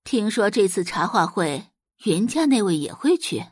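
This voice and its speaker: A woman's voice: mid-range voice, big pitch swings, moderate pace, husky, dry recording, studio quality. A woman voicing a haughty, snobbish middle-aged society mother with a scornful, indignant manner, in an audio drama. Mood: composed, bossy.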